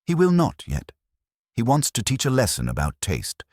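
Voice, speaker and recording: A man voicing an imperious, cerebral detective in an audiobook, speaking formally and deliberately. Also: medium pitch, wildly swooping pitch, darkish tone, no room sound, clean studio sound, voice pushed up.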